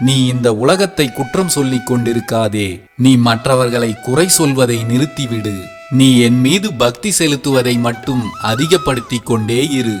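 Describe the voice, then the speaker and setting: Gentle god figure read by a man, reassuring, calm, scripted devotional, average pitch, soft music behind. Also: natural voice. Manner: calm, soothing, reassuring address. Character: gentle, protective male deity speaking to a devotee. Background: soft background music.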